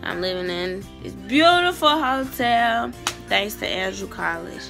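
A woman's voice: mid-range voice, animated, unhurried, vocal fry, sharp tone, faint music behind. A young woman vlogging casually into a phone held at arm's length about a theater festival trip, chatty and slangy. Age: young adult. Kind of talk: casual vlog chat. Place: hotel room and festival venues.

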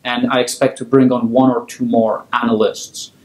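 A man in his thirties, originally from Poland, answering interview questions as a fund founder in a measured, organized way. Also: mid-range voice, flat delivery, a little mellow. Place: office with wooden chairs, indoors. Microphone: lapel mic. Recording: roomy office echo.

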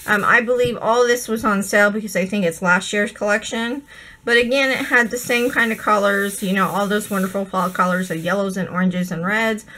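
A woman's voice: medium pitch, bright, forceful tone, slight background noise, low-quality recording. A woman losing her voice, chatting and gushing over craft supplies in a casual haul video at her desk. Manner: gushing, chatty, many ums. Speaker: crafting vlogger.

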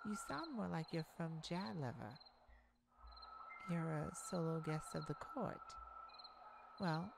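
A woman's voice: low-pitched, vocal fry, noisy background, heavily acted. A woman playing a weary, proud and guarded young half-blood princess in a scripted roleplay audio drama, speaking in formal, wistful phrases. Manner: formal, measured, wistful storybook speech.

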